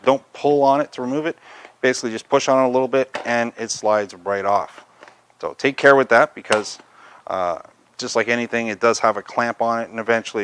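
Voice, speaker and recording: A man's voice: mid-range voice, fairly bright tone. A man presenting a product review, talking through features in an unscripted, conversational, explanatory way.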